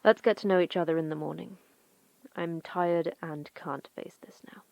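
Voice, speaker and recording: A woman's voice: lowish voice, fairly even intonation, moderate pace, vocal fry, muffled recording. A woman acting in an audio drama as a blunt, weary security officer, terse and withdrawn. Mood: weary, guarded.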